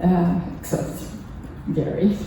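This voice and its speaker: A woman's voice: lowish voice, steady tone, mellow. Older Japanese-accented woman in an echoey hall. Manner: halting, earnest, pausing often mid-phrase. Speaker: lay speaker at a Buddhist temple service. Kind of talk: personal testimony talk to a congregation. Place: temple hall during a service. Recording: echoey room, distant mic, faint noise.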